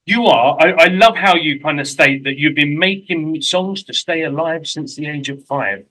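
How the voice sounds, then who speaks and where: A man with a London accent hosts a relaxed interview, chatty and quick to poke fun at himself. His voice sits a little high and rings bright and forward. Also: poor audio. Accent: London English. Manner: chatty and self-deprecating, quick asides. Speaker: host. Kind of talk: casual interview chat. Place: lives in Mexico.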